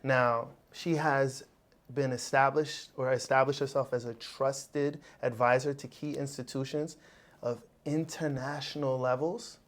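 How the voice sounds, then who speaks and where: Chatty interview host, easygoing, mid pitch, jokes around a bit. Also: fairly even intonation, a little slow. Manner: friendly, chatty, jokes about himself or herself. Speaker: podcast host. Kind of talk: interview hosting.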